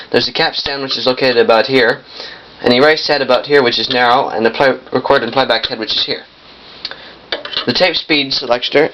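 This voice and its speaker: A young man giving a casual hands-on demonstration in a halting, piecemeal way, often correcting himself. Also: mid-range voice. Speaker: vintage audio collector demonstrating a machine. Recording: muffled lo-fi camcorder sound, noisy.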